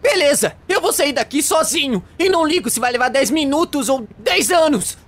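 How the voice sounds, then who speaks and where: A man playing an excitable, bickering young gamer in an exaggerated comedy fan dub. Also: very high-pitched, moderate pace, exaggerated voice. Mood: desperate.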